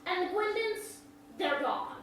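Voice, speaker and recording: Woman as a very high-voiced desperate country girl, echoey. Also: big pitch swings, takes it slowly, fairly bright tone, heavily acted. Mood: hurt, dejected. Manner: rural dialect, emotional. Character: desperate, loyal young country girl, fiercely protective. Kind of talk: staged reading of a play. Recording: echoey hall from far off, faint noise.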